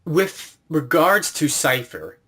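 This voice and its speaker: A man's voice: fairly high pitch, sing-song, takes it slowly, sharp tone, slightly rough. Casual male interviewer.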